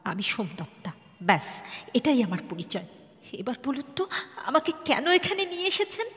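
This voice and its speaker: A high voice rings in long reverb as a woman acts out a despairing, proud wife who feels utterly alone. She has a Bengali accent and a wounded, defensive, halting delivery that swings widely in pitch, as in radio drama. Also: very animated, a bit bright. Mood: guarded, bitter. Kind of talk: Bengali audio drama acting. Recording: reverberant hall-like echo.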